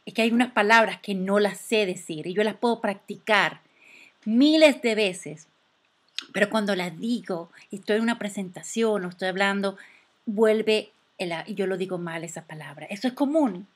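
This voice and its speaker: A woman's voice: medium pitch, normal speed. A woman host, earnestly sharing her own experiences and asking the guest questions. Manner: earnest, personal and anecdotal. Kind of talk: interview talk show.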